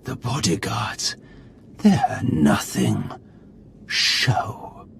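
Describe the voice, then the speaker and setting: An actor playing a cruel cyborg Sith lord, gravelly and threatening, in a video game. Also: male voice, on the low side, noisy background, heavily acted. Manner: slow, threatening.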